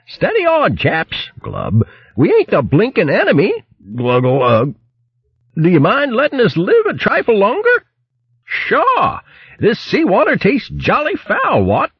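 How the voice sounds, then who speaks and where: A man voicing a hearty, boastful male hare with a posh blustering manner and a very high voice. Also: huge pitch swings, measured pace, husky, no echo, lo-fi sound, exaggerated voice. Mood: spluttering. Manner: posh bluster, "chaps", "what?", "jolly", "bally".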